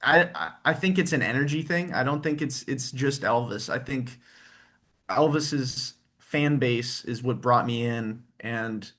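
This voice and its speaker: A man's voice: medium pitch, a little slow, a bit bright. A man being interviewed, talking about his work in a friendly, rambling way and laughing easily. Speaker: guest, tribute performer and engineer. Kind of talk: casual interview chat.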